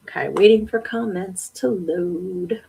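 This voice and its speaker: A woman's voice: lowish voice, slow pace, gravelly, dark tone, a little hiss or hum, low-quality recording. A woman hosting a live-stream podcast, reading viewer comments aloud and chatting back with frequent laughs. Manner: chatty, laughs often, talks back to the chat. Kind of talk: casual live-stream chat.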